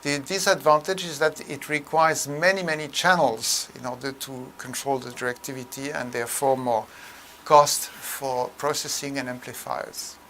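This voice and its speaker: A man presenting a technical tutorial in an explanatory way, with a halting, hesitant delivery full of mid-phrase pauses. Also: mid-range voice, fairly even intonation, pushed and bright, a little hiss or hum.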